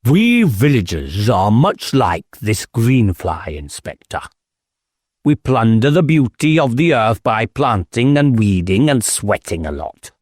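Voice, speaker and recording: Village squire for audiobook male reader acting: eccentric, disdainful, taunting, theatrical, slow and deliberate. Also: mid-range voice, dry recording, studio quality.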